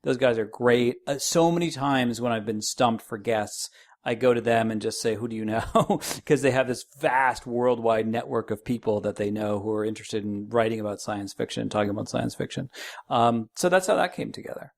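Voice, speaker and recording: A man talking casually as a podcast guest, recounting his process in a halting way with repeated restarts. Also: medium pitch, creaky. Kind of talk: casual interview conversation.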